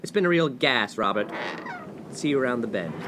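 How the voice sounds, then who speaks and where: Man playing swaggering, animated 1950s college cad. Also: mid-range voice, brisk, noisy background, close to an everyday voice. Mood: smug, breezy. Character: brash, smug male college freshman, privileged 1950s cad. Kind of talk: comedic 1950s radio play acting.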